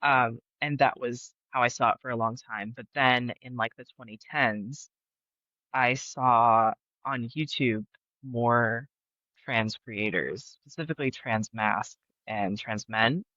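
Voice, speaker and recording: A man's voice: mid-range voice, slow, sharp tone, no echo, highs cut off. A young man chatting as a podcast guest, laughing often and talking with many fillers. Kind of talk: casual interview chat. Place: Brooklyn.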